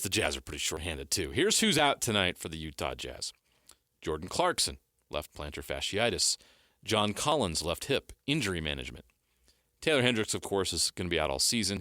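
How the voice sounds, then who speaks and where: A low-pitched man with a bright, forceful edge hosts a sports radio show from the studio. He talks briskly, steering the segments and rattling off news and injury reports. The sound is dry and close on a studio microphone. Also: no echo.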